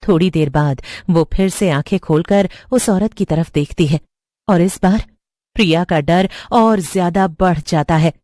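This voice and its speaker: A woman's voice: on the low side, dark tone, dry recording. Woman narrating horror stories, very dry sound. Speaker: story narrator. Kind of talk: scripted horror story narration.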